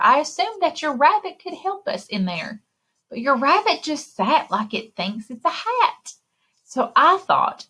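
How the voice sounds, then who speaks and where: A woman with a fairly high, slightly husky voice reads a children's picture book aloud. Her delivery is very animated and sing-song, full of exclamations. She talks straight to young listeners like a story time librarian. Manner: expressive, exclaiming, addressing young listeners directly. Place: indoor room, recorded screen share with webcam.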